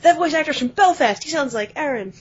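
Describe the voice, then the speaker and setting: A woman co-hosting a podcast, chatting quickly about video games and often letting her phrases trail off. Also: sits high, animated. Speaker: podcast co-host. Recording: dull lo-fi podcast audio.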